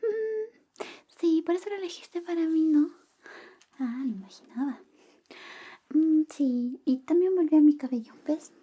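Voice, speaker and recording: High, whispery young woman acting a lovestruck best friend. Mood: playful, evasive. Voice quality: soft, whispery, high-pitched. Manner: slow, coy, murmuring. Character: jealous female best friend secretly in love, teasing, caring. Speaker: ASMR roleplay performer. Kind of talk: ASMR personal attention roleplay.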